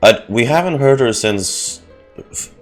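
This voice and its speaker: A man giving casual live commentary on a game as a streamer, halting and wry. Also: mid-range voice, lively intonation, faint music behind. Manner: halting, wry, self-joking.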